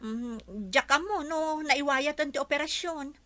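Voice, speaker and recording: A dutiful working woman in an Ilocano radio drama. She sounds high and bright, with an Ilocano accent. Her delivery is earnest and worried as she explains herself. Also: measured pace. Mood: earnest, hurried.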